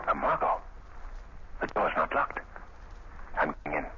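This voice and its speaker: An actor playing a calm, resolute male hero in a 1930s radio drama, speaking in a steady, assured way. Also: unhurried, close to an everyday voice. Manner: steady, assured, low-key. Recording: muffled, hissy old radio recording.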